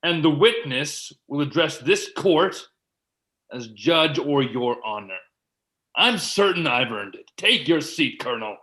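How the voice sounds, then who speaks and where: Man acting a stern, unhurried military judge. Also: a little high, lively intonation, bright, forceful tone. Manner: formal, measured, firm. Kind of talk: dramatic script reading.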